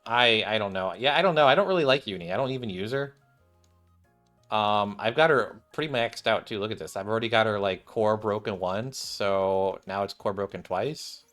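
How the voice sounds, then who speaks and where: A man's voice: on the low side, moderate pace, a bit bright, faint music behind. A man giving casual, off-the-cuff commentary on a game, rambling and thinking aloud as he plays. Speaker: gaming video creator.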